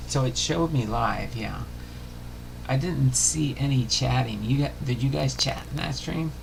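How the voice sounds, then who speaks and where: A man hosting a casual livestream, chatting and reading aloud in a halting, unpolished way. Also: medium pitch. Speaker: livestream host. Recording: clearly noisy room recording.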